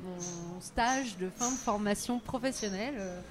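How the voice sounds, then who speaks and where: A woman's voice: medium pitch, crowd noise. A woman who works as a freelance humor critic, chatting and joking casually in a bar. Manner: chatty, jokey, quick laughs. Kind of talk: casual chat.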